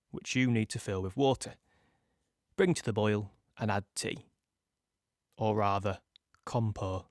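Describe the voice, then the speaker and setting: A man's voice: mid-range voice, no echo, clean studio sound, close to an everyday voice. An actor with a British accent playing a cheerful young guardsman, telling army stories in a droll, instructional manner. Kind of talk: first-person audio play monologue.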